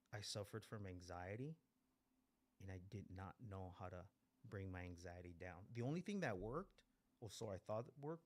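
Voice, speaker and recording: A man in his forties from Mexico, raised in East Los Angeles, speaking candidly and profanely as he gives personal testimony at a meeting. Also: fairly low pitch. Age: 40s. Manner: blunt, profane, repeats phrases for emphasis. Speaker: guest speaker at a recovery meeting.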